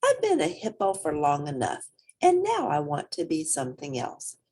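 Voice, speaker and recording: A woman's voice: low-pitched, huge pitch swings, moderate pace, darkish tone, husky, heavily acted. A woman voicing a stubborn, curious young male hippo in a children's storybook, polite but determined. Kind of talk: children's storybook read-aloud.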